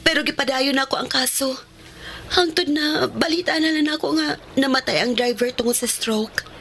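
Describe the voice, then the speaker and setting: A woman's voice: sits high, normal speed, a bit bright, a little hiss or hum. A woman acting a sincere widowed mother in a radio drama, carrying grief and recovering from a breakdown. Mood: sorrowful, confiding.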